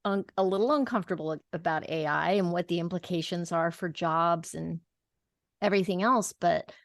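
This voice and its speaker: A woman hosting a podcast, speaking thoughtfully and haltingly with many fillers. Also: on the low side, no echo, clean studio sound. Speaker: podcast host. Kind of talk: casual podcast conversation.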